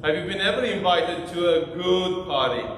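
Priest preaching far off in a hall. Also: male voice, sits high, moderate pace, fairly bright tone, slight background noise. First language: Armenian. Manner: interactive, asks the congregation questions. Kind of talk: sermon with questions to the congregation. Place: small church sanctuary, indoors. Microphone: far camera. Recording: echoey church from far off.

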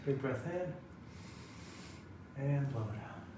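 Echoey distant recording, middle-aged man, chiropractor, instructional, anatomical terms, faint-to-clear room noise. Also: mid-range voice, expressive, slow pace. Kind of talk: explaining a hands-on treatment to a patient. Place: small treatment room indoors.

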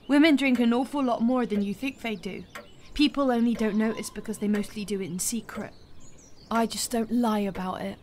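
A woman acting a high-voiced, world-weary young woman. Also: fairly even intonation, brisk, a little mellow, a little hiss or hum, lightly acted. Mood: wry, tipsy. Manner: blunt, wry, confiding. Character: frank, world-weary, lovelorn young woman who drinks. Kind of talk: naturalistic audio drama acting.

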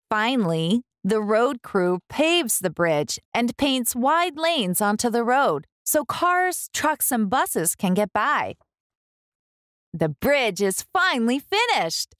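A woman hosts a read-aloud for young children. Her voice is a bit high and very animated, recorded dry, and she enunciates each phrase deliberately. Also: no room sound. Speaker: children's book reader, host.

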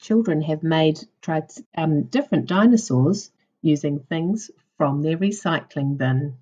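A woman teacher reading a children's non-fiction book aloud in a deliberate, instructional way for young children. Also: low-pitched, dark tone, slightly rough, muffled recording. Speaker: primary school teacher.

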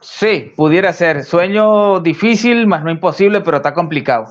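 A young Venezuelan man talks as a polite, chatty guest in a live video call. His voice is a bit higher than most men's, and the sound comes through slightly dull. He answers with easy courtesy. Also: lively intonation, moderate pace. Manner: chatty, polite, says con todo gusto. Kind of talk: casual live stream chat.

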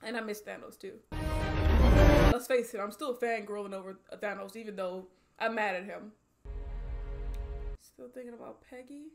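A woman's voice: medium pitch, fairly even intonation, moderate pace, creaky, long pauses, over loud music. A woman in her twenties giving casual, emotional, slangy film reaction commentary, full of interjections, recorded in a small room. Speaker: movie reaction host. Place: small room at home, indoors.

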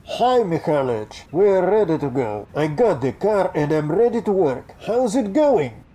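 A man's voice: sits high, very animated, measured pace, warm tone, exaggerated voice. An actor playing an eager young male mechanic who wears a gas mask.

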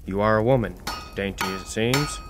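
An actor playing a bitter, grumbling old god of the forge in an audio drama. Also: male voice, fairly low pitch, a little fast, background noise, lightly acted. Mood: grumpy. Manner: grumbling, rambling about old grievances. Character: grumpy, bitter old blacksmith god, crippled.

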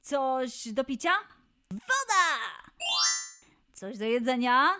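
A woman's voice: high-pitched, very animated, slow, sharp tone, slight background noise. A woman presenting a scripted quiz for children in a playful, encouraging, exclamatory way. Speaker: children's quiz show host.

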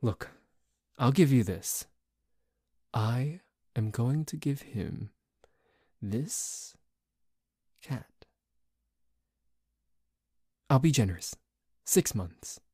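A man playing a dorky, grumpy, possessive but secretly tender boyfriend in an ASMR roleplay, grumbling haltingly with sighs. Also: medium pitch, lively intonation, a little mellow, breathy edge, dry recording, clean studio sound. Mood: grudging, drowsy.

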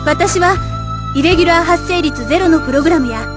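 Very high-voiced android girl. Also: fast talker. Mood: earnest. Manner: earnest, gentle. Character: caring, diligent young female android operator. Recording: game music behind.

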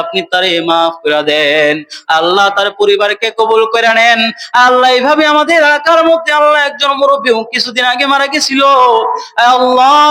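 A man with a Bangladeshi accent leading a prayer as an imam, pleading tearfully in an emotional, half-chanted supplication with drawn-out calls. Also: high-pitched, bright, forceful tone.

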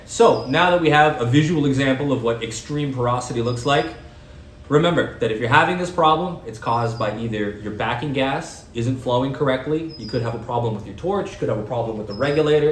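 Relaxed man teaching in an echoey workshop. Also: mid-range voice. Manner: relaxed, plain-spoken, explains step by step. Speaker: welding instructor. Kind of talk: casual demonstration lesson. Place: welding workshop, indoors. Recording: echoey workshop with background noise.

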